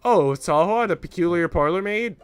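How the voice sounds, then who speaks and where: A man's voice: sits high, animated, brisk, smooth, soft background music, voice pushed up. A man voicing a sweet, earnest young Swiss maid from a visual novel in a wistful manner.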